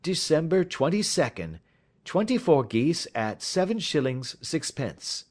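A man voicing a cool, shrewd gentleman detective in an audiobook, with a polite, careless, lilting manner. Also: fairly high pitch, very animated, measured pace, pitched above the performer's own voice. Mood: level, reading aloud. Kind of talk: audiobook character dialogue.

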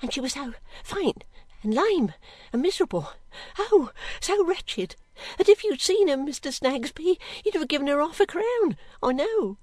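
A wretched young servant girl from a storybook. The voice is very high and close and dry, plaintive and pleading, anxious to please and easily frightened. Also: big pitch swings, normal speed, hardly any pauses, a little mellow, no echo. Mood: piteous, earnest. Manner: plaintive, pleading, breathlessly repetitive. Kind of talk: audiobook character acting.

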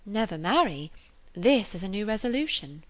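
A woman voicing a composed, judicious, gently superior young lady in a storybook, speaking in a measured, admonishing manner. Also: medium pitch, very animated, close to an everyday voice. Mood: surprised, careful. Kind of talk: dramatised audiobook character reading.

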